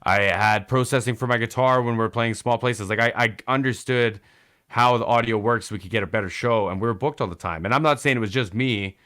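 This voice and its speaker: A man's voice: medium pitch, a little fast, a bit bright. A man hosting a podcast in casual chat, explaining audio and vocal technique in a laid-back way. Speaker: podcast host, musician.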